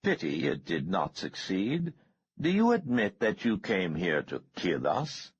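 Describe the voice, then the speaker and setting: A man voicing a courtly, smooth-talking male billionaire with an oily, polite manner. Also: low-pitched, very animated, a little slow, highs cut off, slight background noise.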